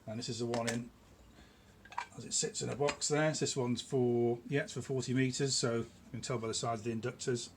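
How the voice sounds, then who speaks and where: Middle-aged man, medium pitch, casual demo talk, informal hesitant explaining, some background noise. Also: steady tone, brisk. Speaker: electronics builder presenting their own product. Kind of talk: casual product demonstration to camera. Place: small cluttered indoor workshop. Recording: clear background noise in a room.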